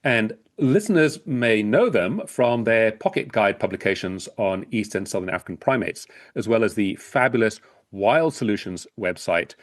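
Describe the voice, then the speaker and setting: A man hosting a podcast in a casual, conversational style. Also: lowish voice.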